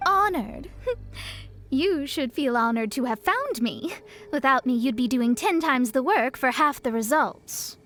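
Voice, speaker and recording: Proud young astrologer woman, high voice. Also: lively intonation, measured pace, fairly bright tone, soft background music. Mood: smug. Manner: haughty, self-assured, articulate. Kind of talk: video game character acting.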